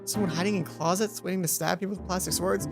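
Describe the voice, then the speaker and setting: A woman's voice: on the low side, fairly even intonation, fast. A woman hosting a let's play, reading a visual novel aloud with casual asides, music behind her.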